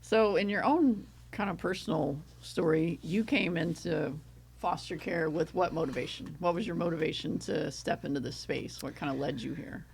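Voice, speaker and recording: A woman's voice: sits low, moderate pace, gravelly, darkish tone, slight background noise. A woman hosting a casual interview, prompting her guest with questions and frequent encouraging "mm-hmm" and "right.". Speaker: interviewer, host.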